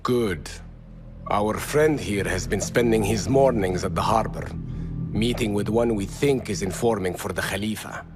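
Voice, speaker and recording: An actor playing a defiant, hardened rebel leader in a video game, with a blunt, commanding, formal delivery. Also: male voice, fairly low pitch, unhurried, background noise, natural voice. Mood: curt, resolute. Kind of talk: dramatic video game cutscene.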